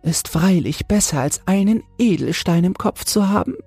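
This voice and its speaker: A man's voice: sits high, animated, normal speed, creaky, darkish tone, husky, no room sound, soft background music, pitched above the performer's own voice, exaggerated voice. A man voicing a naive little female toad in a storybook, exclaiming with wonder and yearning. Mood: elated longing. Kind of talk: storybook character voice.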